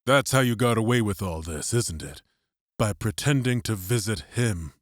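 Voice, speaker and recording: A man's voice: lowish voice, expressive, moderate pace, dry recording, clean studio sound. Man acting a betrayed, furious resistance fighter. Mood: icy. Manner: icy, snapping, hissing. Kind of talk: dramatized audiobook acting.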